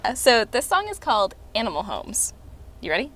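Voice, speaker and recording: A woman's voice: a little high, wildly swooping pitch, a bit bright, a little hiss or hum. A woman doing a giggly joke-telling puppet. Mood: singing. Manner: giggly, sings a slow rhyming song. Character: cheerful joke-telling puppet named Tuna. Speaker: children's puppet show performer.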